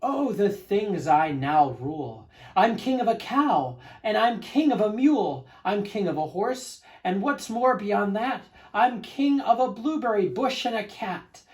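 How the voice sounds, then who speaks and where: A man performs a tyrannical turtle king from a children's storybook. He is boastful and domineering, booming out his lines in a grand, pompous bark at a stately, slow pace. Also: very animated, darkish tone. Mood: gloating. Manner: pompous, bellowing, barking.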